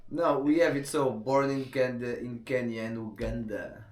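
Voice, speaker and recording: A man hosts a casual podcast and talks in a loose, joking, slightly scattered way. His voice sits at an ordinary pitch, and the room around him has a noticeable echo. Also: fairly even intonation, normal speed. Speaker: podcast host. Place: room in Portugal. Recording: echoey room.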